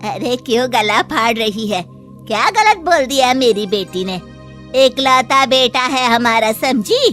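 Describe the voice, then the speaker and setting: A woman plays a haughty mother-in-law in a cartoon moral tale, scolding with scorn. The voice is harsh and forceful, on the high side, with music behind. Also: lively intonation, a little slow. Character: haughty, scolding mother-in-law who dotes on her son.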